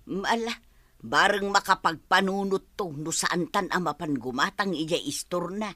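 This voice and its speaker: Casting: hard-up village mother, expressive, Ilocano accent, bright forceful tone, close dry sound. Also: lowish voice, normal speed, no room sound. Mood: gossipy. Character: hard-up, plain-spoken village mother owing money. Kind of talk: Ilocano radio comedy drama acting.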